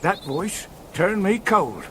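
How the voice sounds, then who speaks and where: Casting: humble village watchman, high voice, lively intonation, uneasy and deferential, medieval radio drama. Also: takes it slowly, a little hiss or hum, heavily acted. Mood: uneasy, eager. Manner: rustic, animated, deferential.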